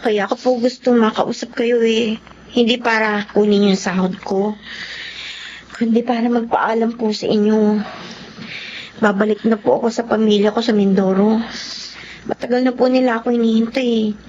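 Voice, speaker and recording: A woman's voice: on the high side, fairly even intonation, normal speed, pauses often, darkish tone, lightly acted. An actress playing a humble, forgiving young working woman in a Filipino radio drama, respectful and earnest. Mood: gentle, earnest. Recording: dull lo-fi audio with noise.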